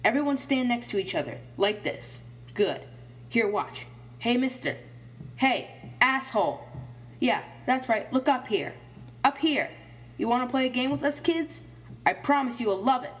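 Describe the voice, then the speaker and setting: A woman's voice: on the high side, animated, moderate pace, pauses often, slight background noise, close to an everyday voice. A woman voicing a cocky, cruel young boy with a brash, taunting manner in an audio horror story. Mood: taunting, bossy.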